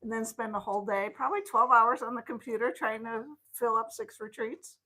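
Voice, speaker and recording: Woman, co-host, casual stream chat, somewhat high pitch, playful. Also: fairly even intonation, a little fast, bright, forceful tone, low-quality recording. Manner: playful, teasing, laughs easily. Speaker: co-host and retreat organizer. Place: indoor shop with renovation noise upstairs.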